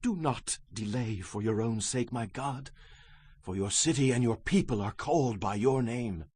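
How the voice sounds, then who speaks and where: An actor playing an anguished Hebrew prophet in a dramatized scripture reading, husky, solemn and impassioned as he pleads with God. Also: medium pitch, a little slow, darkish tone. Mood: subdued, penitent. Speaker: actor in a dramatized audio Bible.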